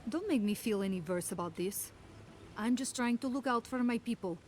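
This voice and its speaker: An actress playing a worried, practical settlement leader in a video game, plain-spoken and pressing. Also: female voice, on the high side, normal speed, darkish tone, slight background noise, close to an everyday voice. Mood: worried, resolute. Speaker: community leader. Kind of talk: naturalistic video game dialogue.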